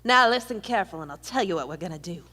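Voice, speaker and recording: A woman's voice: fairly low pitch, animated, quick pace, pushed and bright. A woman playing a shrewd, commanding outlaw gang boss in a live Western radio play, folksy and authoritative. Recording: reverberant theater hall.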